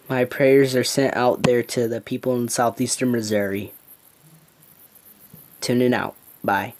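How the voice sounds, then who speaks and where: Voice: mid-range voice, level tone, a little mellow, slight background noise, close to an everyday voice. A person playing a hesitant, worried local morning news anchor, stumbling informally through an improvised breaking news report. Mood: hesitant, concerned. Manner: halting, stumbling, informal delivery.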